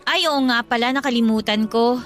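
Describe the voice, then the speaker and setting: A woman's voice: fairly high pitch, fairly quick, fairly bright tone, soft background music, natural voice. A woman playing a friendly, teasing young working mother in conversational radio drama dialogue. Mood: chatty.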